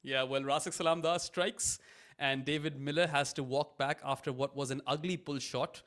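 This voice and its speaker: A young adult man hosting a live cricket watchalong, calling play-by-play with a booming voice and chatty asides. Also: steady tone, normal speed, fairly bright tone. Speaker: watchalong host.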